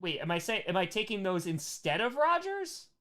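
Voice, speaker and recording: An American man hosting a casual livestream Q&A, chatting in an animated way and repeating short phrases for emphasis. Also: high voice, normal speed. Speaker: livestream host answering chat questions.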